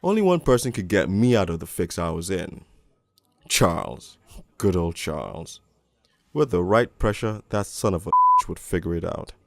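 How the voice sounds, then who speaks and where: A man playing a crude, cynical police detective, narrating a crime storybook in the first person in a gravelly, world-weary, deliberate way. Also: deep voice, wildly swooping pitch, fairly bright tone, dry recording, heavily acted. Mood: bitter. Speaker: narrator and lead voice actor in an animated storybook.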